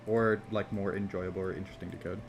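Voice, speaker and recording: A man casually chatting on a game development live stream, rambling and swearing easily, with a somewhat monotone voice. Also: low voice, fast, background noise. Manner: rambling, laid-back, swears casually, frequent "like" fillers.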